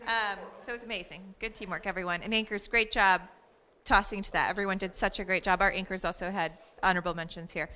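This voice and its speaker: A woman's voice: mid-range voice, fairly even intonation, fast, pushed and bright, clear, resonant tone. A young woman hosting a student news show wrap-up, upbeat and full of praise as she introduces the professor. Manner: upbeat, praising, laughing quotes. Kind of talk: post-show class wrap-up. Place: college newsroom classroom. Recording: echoey room with faint noise.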